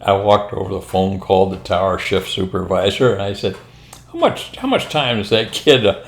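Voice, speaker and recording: Older american guy, ex pilot, really low voice, rambling through stories casually, roomy echo. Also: lively intonation, moderate pace, creaky. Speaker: retired military and airline pilot telling stories. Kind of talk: casual anecdotal storytelling. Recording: roomy, echoing room sound.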